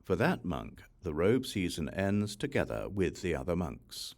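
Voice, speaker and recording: A man reading scripture aloud as an audiobook narrator, in a measured, formal manner. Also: sits low, big pitch swings. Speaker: audiobook reader.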